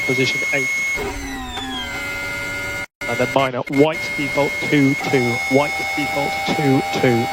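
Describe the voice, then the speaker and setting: A man working as a race engineer, giving terse, clipped instructions and updates over team radio, often repeating codes. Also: mid-range voice. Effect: team radio transmission. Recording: loud engine noise, team radio link.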